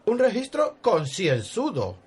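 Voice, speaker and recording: A man's voice: high voice, wildly swooping pitch, slow, darkish tone, husky, exaggerated voice. A man playing a pompous, bossy secretary of state in a parody radio soap opera, speaking in emphatic repetitions.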